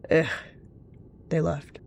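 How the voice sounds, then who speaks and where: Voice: slow, darkish tone. A person narrating a scripted animal roleplay story as the storyteller. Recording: music behind the voice. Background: music.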